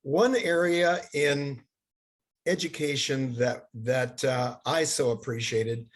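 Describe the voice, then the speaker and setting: An older man who grew up in Bangladesh, giving a halting seminar lecture over slides, with many fillers and restarts. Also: mid-range voice.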